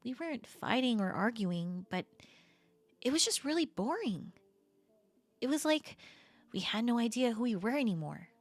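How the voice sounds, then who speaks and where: Woman acting flirty, expressive best friend, close and dry. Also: on the high side, moderate pace, pauses often, no echo. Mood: sheepish, casual. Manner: casual, expressive, hesitant trailing-off phrases. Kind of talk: intimate audio roleplay acting, conversational.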